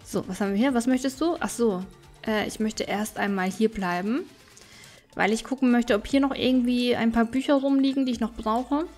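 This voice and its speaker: Girl gamer, kinda high voice, chatty let's play commentary thinking out loud, some music behind. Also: moderate pace. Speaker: let's player. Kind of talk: casual gameplay commentary.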